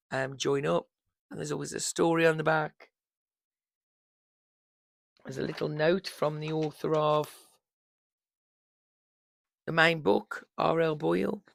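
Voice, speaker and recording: A woman in her fifties, hoarse from losing her voice, chatting casually and rambling about books on her vlog into a microphone on a holder. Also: deep voice, dry recording. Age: 50s. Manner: chatty, rambling, warm fond asides. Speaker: book vlogger.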